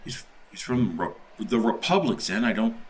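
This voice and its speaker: A man playing a tabletop role-playing game, chatting and improvising casually, often halting and repeating his words. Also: lowish voice, very animated.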